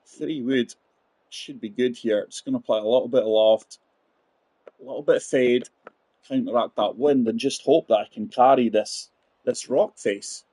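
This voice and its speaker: A man's voice: mid-range voice, moderate pace, mellow, husky, no room sound. A man in his forties giving chatty live commentary on a golf game, talking straight to his viewers. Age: 40s. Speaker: game streamer.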